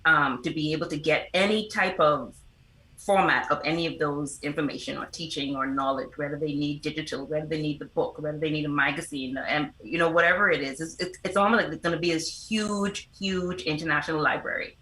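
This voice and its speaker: A woman's voice: low-pitched, steady tone, fairly quick, pushed and bright. A middle-aged woman, giving earnest, explanatory answers as an interview guest. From the Bahamas. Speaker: interview guest, foundation representative and motivational speaker. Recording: roomy, echoing sound.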